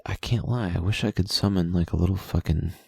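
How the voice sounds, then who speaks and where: A man's voice: low voice, brisk, gravelly, husky, no room sound. A young man casually reading his journal aloud late at night, rambling, swearing and putting himself down. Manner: profane, self-deprecating, rambling.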